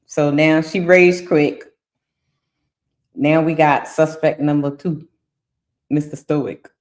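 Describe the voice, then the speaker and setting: Woman, low voice, casual commentary, mocking quotations. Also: steady tone, slow pace, slight background noise. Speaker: commentator on the show.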